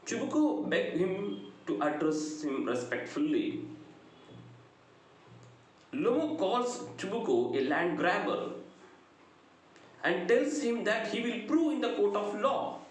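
A middle-aged man with a South Indian accent giving a lecture, retelling a story deliberately with pauses between phrases. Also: high-pitched, big pitch swings. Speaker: English lecturer. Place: small bright indoor room. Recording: very echoey room, faint background noise.

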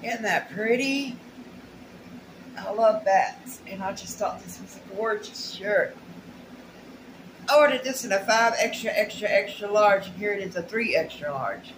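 A woman's voice: mid-range voice, animated, measured pace. Middle-aged woman, plain-spoken haul vlogger, casual rambling delivery in short bursts, roomy and noisy home recording. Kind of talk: casual unboxing chat to camera. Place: small plain indoor room at home.

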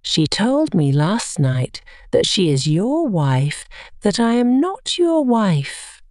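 A woman's voice: medium pitch, very animated, slow pace, a little mellow, no echo, clean studio sound. A woman voicing an anxious young wife in a story, earnest, questioning and pleading.

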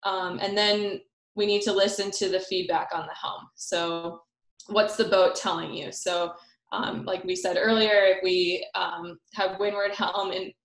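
A woman's voice: medium pitch, fairly even intonation, unhurried. Young woman presenting, roomy echo. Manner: casual, many fillers. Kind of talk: online sailing seminar. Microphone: video call. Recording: roomy echo on call audio.

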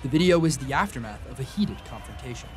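A man's voice: mid-range voice, lively intonation, fast talker, over music. A young adult man with an American accent reading scripted narration as a student TV news reporter.

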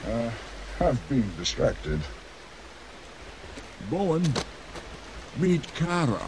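An actor voicing a wise, gentle male dragon who talks in a mildly teasing, chuckling way. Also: medium pitch, very animated, drawn-out words, noisy background. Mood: apologetic. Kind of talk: film acting.

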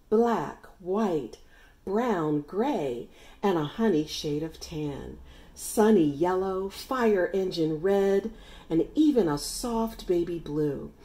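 Middle aged lady reading kids picture book out loud, really slow, lots of up and down in her voice. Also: fairly low pitch. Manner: deliberate, expressive phrasing for young listeners. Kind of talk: read-aloud children's story narration.

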